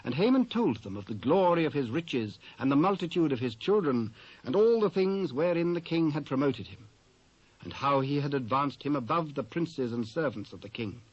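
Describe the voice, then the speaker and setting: A man with a British accent reading scripture as a measured, formal narrator. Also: on the high side, expressive, dry recording. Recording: dry, dull old cassette transfer.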